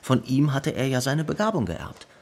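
A man playing a grown brother in a radio drama, soberly recalling his family's past in measured storytelling. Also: mid-range voice, sing-song. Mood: sombre, reflective.

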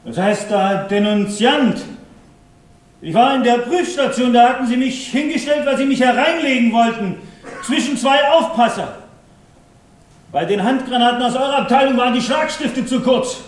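A man's voice: very high-pitched, moderate pace. A man playing an embattled, defensive worker justifying himself in a stage drama. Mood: defensive, agitated. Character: defensive, embattled male worker despised by his colleagues. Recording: distant, very echoey stage recording.

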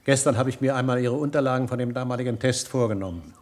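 An actor playing a confident, calculating scientist and physician in a German radio drama, brisk, clinical and assured. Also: male voice, mid-range voice, a little mellow, close to an everyday voice. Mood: confident, persuasive.

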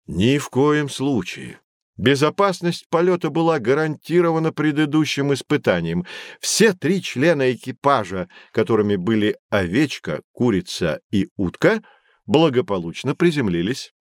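An actor playing a knowledgeable, patient guide who teaches children in an educational audio drama, with a didactic, emphatic delivery. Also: male voice, medium pitch, very animated, normal speed, no room sound, clean studio sound, close to an everyday voice. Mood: storytelling.

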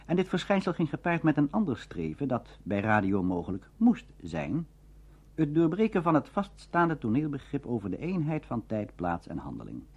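A man narrating a scripted documentary lecture in a formal, measured manner. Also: fairly high pitch, huge pitch swings, darkish tone, slightly rough, dull audio.

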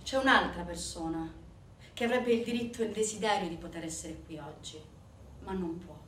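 A woman stage actress giving a grave, measured theatrical narration with dramatic pauses. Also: lowish voice, a bit bright. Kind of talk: theatrical narration of a true crime story. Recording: echoey stage, distant mic, music.

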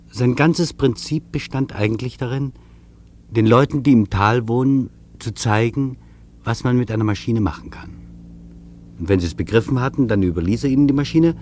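An actor playing an earnest, reflective traveller in a scripted radio feature, speaking deliberately and rhetorically. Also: male voice, medium pitch, darkish tone, a little hiss or hum, natural voice. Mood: reflective, explanatory. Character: earnest reflective male traveller recounting an imagined interview. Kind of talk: scripted German radio feature, literary narration.

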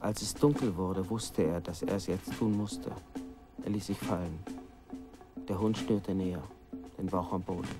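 An actor playing a village storyteller who recounts a grim legend in a radio drama, grave and suspenseful. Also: male voice, deep voice, steady tone, moderate pace, over music. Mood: grave, tense. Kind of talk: dramatic narration in a radio play.

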